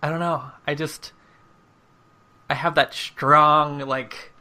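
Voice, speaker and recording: A woman with a distinctly low-pitched voice hosts a casual conversation. Her delivery is informal and somewhat hesitant. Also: steady tone, slow, pushed and bright. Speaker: podcast host. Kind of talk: casual chat.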